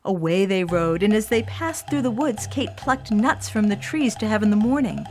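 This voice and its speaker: A woman's voice: mid-range voice, expressive, moderate pace. A woman storyteller reading a scripted fairy tale for children, with music behind her voice.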